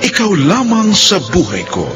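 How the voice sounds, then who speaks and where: A man's voice: high-pitched, wildly swooping pitch, moderate pace, loud music behind the voice. A man announcing as host of a radio drama, in a formal, theatrical scripted style.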